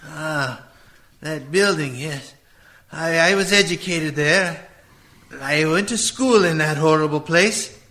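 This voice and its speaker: An actor playing a querulous, fearful old miser in a radio play, hesitant and stammering. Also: male voice, fairly high pitch, takes it slowly, bright, forceful tone, heavily acted. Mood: wistful.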